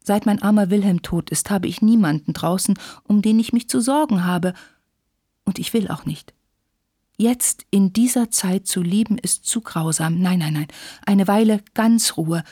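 A woman's voice: medium pitch, lively intonation, measured pace, dark tone, dry recording, studio quality. Woman acting a plaintive, overwrought young war widow. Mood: pleading. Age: young adult. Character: young war widow, self-pitying, passionate, fragile, dramatic.

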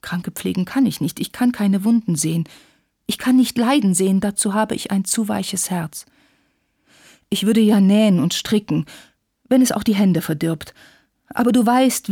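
A fragile young widow from a wartime story, played by a woman narrator. She is plaintive, insistent and dramatic, with breathy, husky edges and a closely recorded, dry sound. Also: mid-range voice, warm tone, no echo. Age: young adult. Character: young war widow, self-pitying, passionate, fragile, dramatic.

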